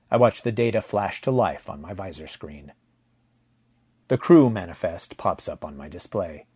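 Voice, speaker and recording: Male narrator for sci-fi audiobook: low husky voice, measured and dramatic, very dry recording. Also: mellow, dry recording. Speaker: fiction narrator. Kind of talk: first-person audiobook narration of a science fiction story.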